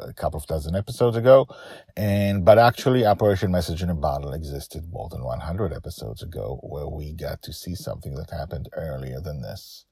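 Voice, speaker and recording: A man hosting a story podcast, narrating theatrically and emphatically, with drawn-out words. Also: rumbling low, gravelly, mellow, husky, no echo, studio quality. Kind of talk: dramatic scripted storytelling with host asides.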